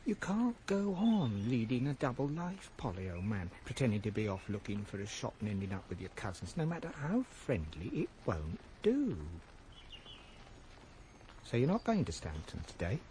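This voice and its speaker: Man acting a whimsical, animated English shopman, Mr Polly. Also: medium pitch, moderate pace, a little hiss or hum, lightly acted. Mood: musing, resolute. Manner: playful, florid invented long words. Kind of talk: radio drama acting.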